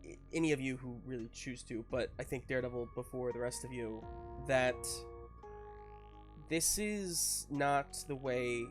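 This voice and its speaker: A man runs a tabletop roleplaying game as game master. His voice sits at a middle pitch, and he improvises narration casually, often searching for words. Faint music plays behind him. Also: unhurried.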